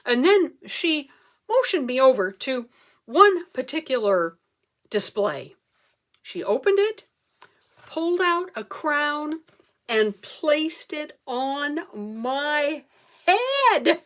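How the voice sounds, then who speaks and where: A woman performing a comic story as a speech, theatrical, with dramatic pauses and emphasis. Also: high voice, very animated, a bit bright. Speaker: club speaker telling a humorous tall tale.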